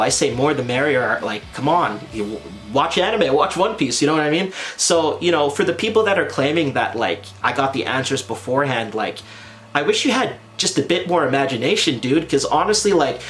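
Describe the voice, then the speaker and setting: Canadian man, 30s, casual rambling vlogger, mid-pitched, faint music bed. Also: a bit bright. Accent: Canadian English. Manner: rambling, heavy use of "like" and "you know". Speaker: anime vlogger. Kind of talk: casual vlog chat. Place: in Japan.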